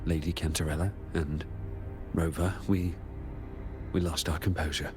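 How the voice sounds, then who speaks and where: An actor playing a chastened, deferential underling in a video game, speaking formally and apologetically. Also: male voice, a bass voice, steady tone, normal speed. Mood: apologetic. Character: chastened, deferential male family subordinate. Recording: ominous game music behind the voice.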